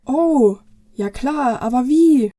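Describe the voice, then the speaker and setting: A woman's voice: high voice, lively intonation, slow, warm tone, no echo. A woman playing an eager, curious young girl, slightly anxious, in a family Christmas audio play.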